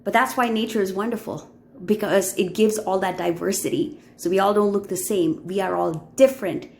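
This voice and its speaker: Woman, Indian accent, mid-pitched, sincere reflective vlogger who pauses and restarts. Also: steady tone, creaky, darkish tone. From Middle East. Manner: earnest, heartfelt, with frequent fillers and restarts. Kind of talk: reflective personal vlog.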